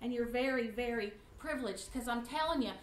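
A woman in her fifties, loud and animated, teaching a church class to a seated group. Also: fairly high pitch, fairly quick. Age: 50s. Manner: loud, animated, chatty with the room. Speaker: guest Bible class teacher. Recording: roomy echo, faint room noise.

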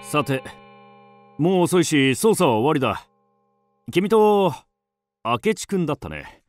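An authoritative police detective in a radio drama. He speaks slowly in clipped phrases, with a widely moving pitch over faint music. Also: big pitch swings. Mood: brisk. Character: businesslike male police detective, terse and authoritative.

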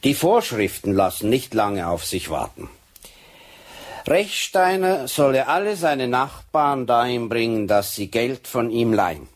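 An actor playing a methodical police sergeant in a radio crime drama, speaking deliberately and insistently with a husky voice. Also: male voice, mid-range voice, very animated. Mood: methodical, pointed. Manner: deliberate, insistent, explaining step by step. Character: methodical Swiss police sergeant laying out a case.

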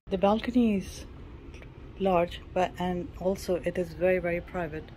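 Female travel vlogger, low even voice, plain and matter-of-fact room tour, some ambient noise behind her. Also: normal speed, rough recording. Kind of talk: casual cabin tour narration. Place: mostly a small cruise ship cabin. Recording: clear background ambient noise.

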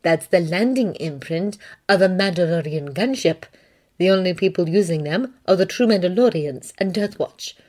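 A woman's voice: fairly low pitch, animated, normal speed, darkish tone. A woman voicing a shrewd Mandalorian woman mercenary with a dry, knowing manner. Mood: matter-of-fact. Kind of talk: fan fiction audiobook reading.

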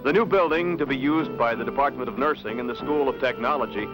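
A man's voice: mid-range voice, quick pace, low-quality recording. An American man reading scripted newsreel narration as an announcer. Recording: 1970s film soundtrack with music. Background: music.

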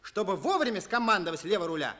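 An actor playing an earnest, exasperated schoolboy in a Soviet radio play, nagging like a little schoolmaster. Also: male voice, sits high, very animated, fast, pushed and bright, exaggerated voice. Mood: mocking. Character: earnest, exasperated schoolboy friend tutoring in arithmetic.

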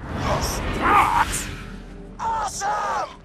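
A huge bombastic muscleman from a video game, bellowing at a very high pitch. The voice is gravelly and manic, stretching words out slowly over loud music. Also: steady tone, exaggerated voice. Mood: manic excitement.

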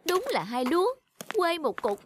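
A man voicing a brash, spendthrift younger brother in an animated cartoon, middle-aged, scoffing and whiny. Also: extremely high pitch, unhurried, a little hiss or hum, exaggerated voice. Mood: scornful, boastful.

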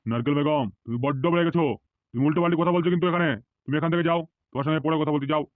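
A boastful, crude cartoon politician, acted by a man, with a slightly high male voice and quick, blustering delivery. He is sleazy and short-tempered. The recording is dry and a little muffled. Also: steady tone, no echo, natural voice. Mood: warning. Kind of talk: Bengali comedy cartoon dubbing.

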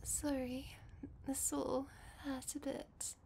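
A woman playing a shy, nervous and tender young vampire girlfriend in an intimate ASMR roleplay, stammering and often slipping into breathy whispers. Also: high-pitched, flat delivery, slow pace, mellow. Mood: nervous, hesitant.

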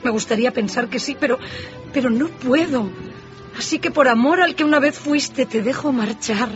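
An actress playing a sorrowful, gentle young woman in a radio drama. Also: high-pitched, normal speed, dull audio, close to an everyday voice. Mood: sad, resigned. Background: music.

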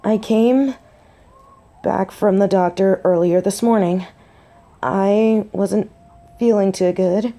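A woman's voice: mid-range voice, fairly even intonation, mellow. A woman playing a tender, nervous young biker girlfriend in an intimate roleplay audio drama, speaking hesitantly and breathily. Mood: nervous, tearful. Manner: hesitant, breathy, halting delivery with whispers.

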